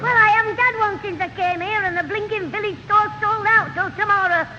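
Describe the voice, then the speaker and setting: A man's voice: extremely high pitch, fairly quick. A man playing a cheeky, wisecracking young schoolboy with a Northern English accent in an old radio comedy. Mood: impatient. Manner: pert, wisecracking. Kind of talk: 1970 radio comedy acting. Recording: noisy, muffled old radio recording.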